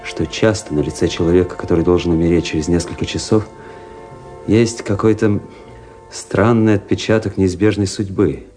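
Radio play casting: male actor as grave officer-narrator, deep voice, measured and brooding, light music underneath. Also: fairly even intonation, warm tone, natural voice. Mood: ominous. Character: grave officer recounting a fateful memory.

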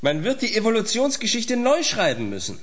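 An actor playing a zealous, self-important science professor in a radio play, with a pompous and rhetorical manner. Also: male voice, high voice, huge pitch swings, a little fast. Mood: pompous, insistent. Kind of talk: German science fiction radio play acting.

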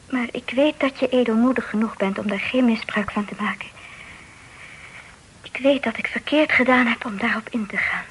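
An actress playing a dutiful young woman torn by love in a period radio drama, speaking formally in a hesitant, hushed, breathy way. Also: a little high. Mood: anguished, ashamed. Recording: noisy old radio drama recording.

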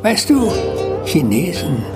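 An actor playing a sly, teasing Cuban-Chinese man in a radio drama, with a gravelly voice and drawn-out, swooping delivery. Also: a little high, sing-song. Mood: sly, knowing. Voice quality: creaky, gravelly. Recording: music behind the voice.

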